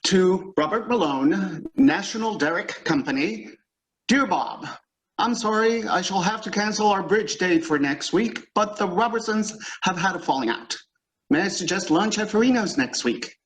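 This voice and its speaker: An actor plays a put-upon male office boss in old-time radio style. His high-pitched voice is fussy, sarcastic and dry. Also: lively intonation, normal speed, low-quality recording. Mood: businesslike.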